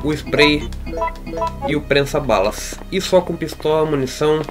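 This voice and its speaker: A man's voice: fairly high pitch, measured pace, music in the background. A man with a Brazilian accent giving casual, slangy let's play commentary as he plays. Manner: informal, slangy. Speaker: gaming YouTuber.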